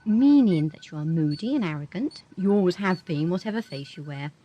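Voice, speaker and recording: A woman playing a brisk, sardonic space station doctor in an audio drama, dry and assured. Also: fairly low pitch, lively intonation, dark tone, natural voice, rough recording. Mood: stern. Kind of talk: radio drama acting. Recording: hissy amateur 1980s audio drama tape.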